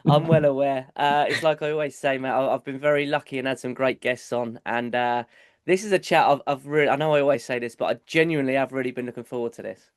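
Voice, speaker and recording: A man's voice: medium pitch, fairly even intonation, fast, clear, resonant tone, dry recording, clean studio sound. Stuffy-nosed man hosting a podcast. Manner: chatty, repeats words, says mate often. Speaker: podcast host. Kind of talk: casual podcast chat.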